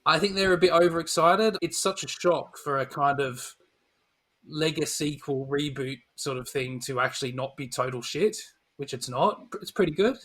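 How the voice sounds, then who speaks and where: A man's voice: a little high, normal speed, a bit bright. A middle-aged man in casual, opinionated conversation as a podcast co-host, informal and dryly humorous. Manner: opinionated, informal, dry humour. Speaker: podcast co-host reviewing films. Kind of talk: casual film chat.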